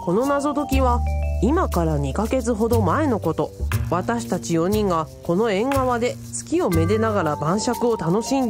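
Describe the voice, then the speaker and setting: A woman's voice: mid-range voice, natural voice. A woman playing an earnest young male part-timer in a radio drama, telling his tale with pompous, very polite theatricality. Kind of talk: radio drama, formal old-fashioned storytelling.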